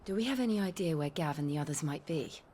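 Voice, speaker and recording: A woman playing a gentle, composed warrior companion in a fantasy game, earnest in manner. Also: deep voice, animated, fast, slight background noise. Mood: concerned. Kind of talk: cinematic game dialogue.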